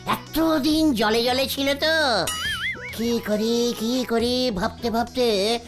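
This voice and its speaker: A woman's voice: fairly high pitch, takes it slowly. A woman voicing a boastful cartoon uncle who spins tall tales in a dramatic, bantering way. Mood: dramatic storytelling. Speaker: storyteller character. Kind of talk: animated cartoon storytelling. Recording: music under the voice.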